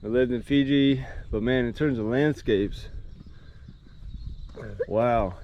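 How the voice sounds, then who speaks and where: A man's voice: mid-range voice, slow, muffled recording. A man with an American accent chatting casually to camera in a laid-back, enthusiastic way. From Florida, United States. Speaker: vlogger and angler. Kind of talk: casual vlog chat to camera. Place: mostly outdoors on a coastal trek. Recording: noisy outdoor camera audio.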